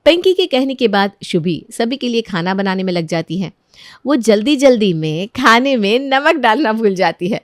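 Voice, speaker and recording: A woman's voice: on the high side, big pitch swings, moderate pace, pauses often, dry recording, studio quality. A woman narrating a scripted moral story as a storyteller.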